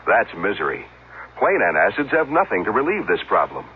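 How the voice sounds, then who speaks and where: A man's voice: fairly low pitch, wildly swooping pitch, a little fast, slight background noise. A man reading a scripted radio advertisement as an announcer, with an emphatic selling delivery. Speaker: commercial announcer. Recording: narrow-band vintage radio broadcast.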